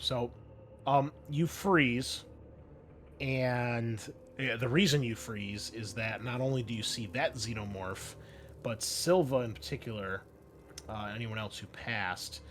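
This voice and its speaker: A man's voice: medium pitch, a little hiss or hum. A man narrating a tabletop role-playing game as game master in a conversational way, addressing his players. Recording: music playing behind the voice. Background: background music.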